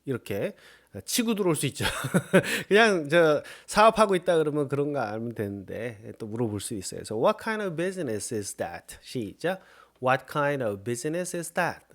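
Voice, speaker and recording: A man from Korea teaching an English lesson, who keeps checking in with his listeners and says his English model phrases slowly and deliberately. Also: fairly high pitch, big pitch swings. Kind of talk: lively recorded English lesson.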